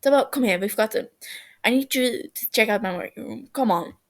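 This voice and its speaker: Woman doing a brash mouthy teen boy from a story, dramatic and indignant, bit of creak in it. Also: fairly high pitch, brisk, natural voice. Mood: eager. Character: brash, boastful, excitable teenage boy, defensive and foul-mouthed.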